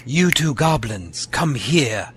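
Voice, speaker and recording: A man playing a polite, sadistic henchman with a measured, formal manner in an audio drama. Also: mid-range voice, big pitch swings, a bit bright, slight background noise. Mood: coolly deferential. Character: polite, sinister, sadistic henchman to villain lord.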